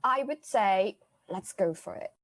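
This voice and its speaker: A woman improvising an earnest former royal maid who is secretly a witch, speaking with halting phrasing. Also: mid-range voice, lively intonation, close to an everyday voice. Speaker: improv player. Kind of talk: improvised comedy scene.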